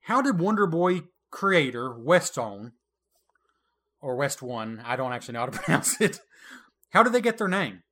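Bright, lively man hosting a quiz. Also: a little high, normal speed. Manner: playful, teasing quizmaster, laughs often. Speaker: podcast co-host running a quiz. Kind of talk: casual retro gaming chat.

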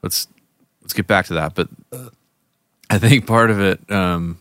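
A man's voice: low voice, measured pace, a bit bright, no echo, studio quality. A man in casual podcast chat, rambling and laughing as he trails off mid-sentence. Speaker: podcast co-host, musician.

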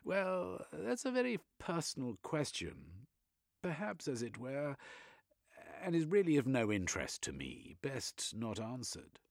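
A man voicing a prim, nervous British gentleman with a British accent who speaks formally. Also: mid-range voice, wildly swooping pitch, a little slow, creaky, dry recording, studio quality, pitched above the performer's own voice, heavily acted. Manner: formal, flustered, reserved.

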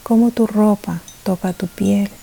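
A woman leading a guided meditation, soft-spoken and soothing, with gentle pauses and a tendency to drift toward a breathy near-whisper. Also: medium pitch, fairly even intonation. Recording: faint hiss behind the voice.

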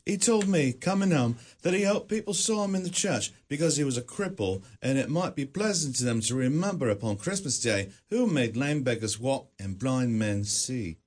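Man voicing a kindly poor father, husky, animated pitch, radio play. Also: normal speed, few pauses, lightly acted. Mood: tender. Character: warm humble father, poor clerk. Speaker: actor. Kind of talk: radio drama acting.

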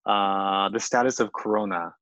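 A man's voice: on the low side, fairly even intonation, normal speed, fairly bright tone, no echo. A young man chatting casually as a guest, speaking hesitantly with many fillers and frequent laughs. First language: not Japanese. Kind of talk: casual chat.

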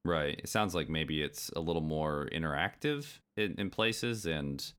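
Very deep-voiced man chatting casually. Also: measured pace, a bit bright, clear, resonant tone. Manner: curious, asks questions, chatty. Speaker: podcast co-host. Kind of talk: casual chat.

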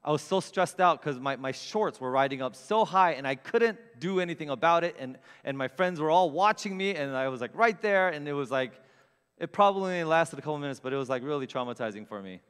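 A middle-aged man preaching a sermon, telling funny stories about himself with big swings in loudness. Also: fairly high pitch, a little fast, clean tone, in a large hall. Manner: animated, self-mocking storyteller with big swings in loudness. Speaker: pastor. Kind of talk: storytelling sermon. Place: church sanctuary with long reverb.

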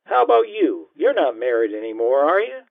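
Guy putting on a tipsy flirty woman, slurry s's, way higher, gravelly, phone-line thin. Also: very animated, brisk, dry recording. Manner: slurred, sloppy sibilance.

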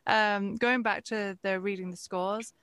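A woman's voice: medium pitch, steady tone, unhurried, fairly bright tone, clean tone, no echo. Woman host, candid and hesitant, dry recording. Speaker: interviewer, host. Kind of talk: conversational interview.

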